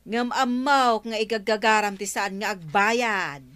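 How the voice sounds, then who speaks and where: An actress with an Ilocano accent playing a sassy, chiding village woman in a radio comedy drama, expressive in delivery. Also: medium pitch, unhurried, clean tone, lightly acted. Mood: scolding. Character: sassy village woman, teasing and scolding debtors.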